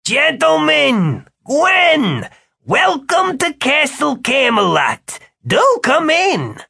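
Audiobook role: a jovial, over-courteous male receptionist, pitched far up, stretching his formal words. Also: huge pitch swings, very slow, creaky, bright, forceful tone, no room sound, studio quality, exaggerated voice. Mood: jovial welcome. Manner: forced joviality, formal, drawn out. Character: gushing, theatrically courteous male castle receptionist.

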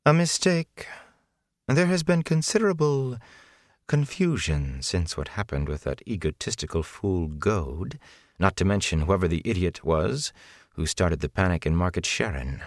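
A man voicing a cold, menacing young woman who serves a dark lord, unhurried and condescending, in an audiobook. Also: low-pitched, very animated, dry recording, clean studio sound, full character voice. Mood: condescending menace. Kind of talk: audiobook character acting.

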